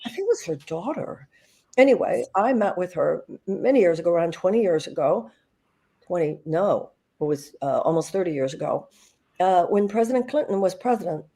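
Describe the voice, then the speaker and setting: A woman's voice: lowish voice, expressive, brisk, dark tone. An older woman from the United States, speaking earnestly and reflectively as a guest on an online panel, with many fillers and restarts. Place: video call.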